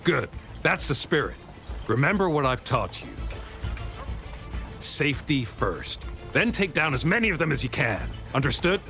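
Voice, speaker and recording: An actor playing a firm, encouraging military officer in a video game, with a commanding, mentoring manner. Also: male voice, a little high, big pitch swings, moderate pace, bright, forceful tone, heavily acted. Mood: rallying. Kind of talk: video game character dialogue. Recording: prominent music under the voice.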